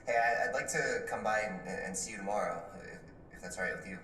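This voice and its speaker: An actor playing a remorseful, vulnerable grown son, a young man speaking in a halting, stammering, sheepish way in a game drama. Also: fairly low pitch, bright, forceful tone, soft background music. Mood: contrite, nervous. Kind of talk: naturalistic game acting. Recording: distant, echoey, noisy ambience.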